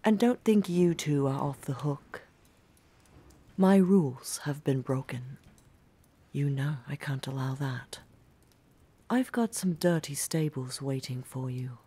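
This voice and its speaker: Casting: woman as commanding knight-academy headmistress, deep and husky, strict, clipped, fantasy audio drama. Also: slow pace, long pauses, mellow, exaggerated voice. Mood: sternly amused.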